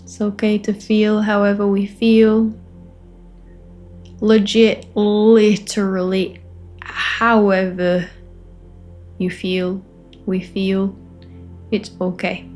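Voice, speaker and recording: A woman facilitating a guided meditation, offering gentle invitations in short phrases with long pauses between them. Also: medium pitch, little pitch movement, soft background music. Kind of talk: guided meditation, spoken softly to listeners.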